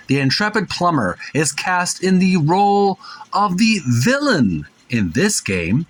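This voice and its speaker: A man's voice: fairly high pitch, a bit bright. Rambling, folksy man hosting casually. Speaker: host of a retro gaming channel. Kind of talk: casual show-and-tell commentary, reading book captions aloud.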